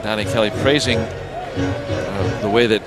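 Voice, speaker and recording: A man's voice: fairly low pitch, steady tone, a little slow, over music, crowd noise. A man calling live baseball play-by-play on the radio as the announcer.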